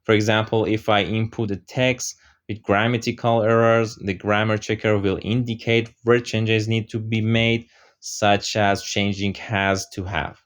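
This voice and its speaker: Low-voiced man explaining software, Persian native. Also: measured pace. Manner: steady explaining with frequent "uh" fillers. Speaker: software tutorial presenter. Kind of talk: screen-recorded software walkthrough.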